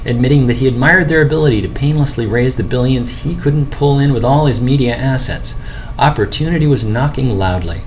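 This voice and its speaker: A man narrates a first-person audiobook in an expressive storyteller's manner. His medium-pitched voice moves widely up and down, with a crackly, gravelly texture. Some noise sits behind the reading. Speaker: author reading own audiobook.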